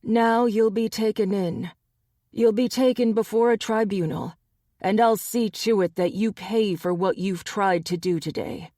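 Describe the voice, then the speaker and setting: A cold male shapeshifter enforcer, put on by a woman reading an audiobook. He threatens slowly and evenly, every word a warning. The recording is close and dry. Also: medium pitch, dark tone, no room sound, voice pushed up, close to an everyday voice. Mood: menacing.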